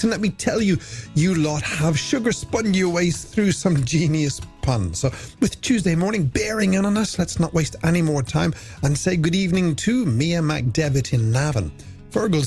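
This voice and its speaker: A man presents a late-night radio show with a playful, wordy flourish. His pitch is fairly high and swings up and down with real animation, with a gravelly crackle at the edges. He speaks into a studio mic and relishes every bit of alliteration. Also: a little mellow. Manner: playful, flowery patter with punchy alliterative wordplay. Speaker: radio host. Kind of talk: late-night music radio presenting, reading out listener messages. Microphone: studio microphone on a stand. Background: music.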